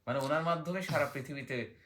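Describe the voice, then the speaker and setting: A man telling folk tales in a conversational way, pausing often with fillers and small check-in questions. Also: a little high, fairly bright tone.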